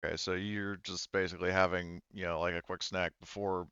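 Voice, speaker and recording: Low-voiced man running a tabletop game, slightly muffled. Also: flat delivery, bright, forceful tone, clear, resonant tone, no echo. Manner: halting, thinking aloud. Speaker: game master. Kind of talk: running a tabletop roleplaying game, casual talk.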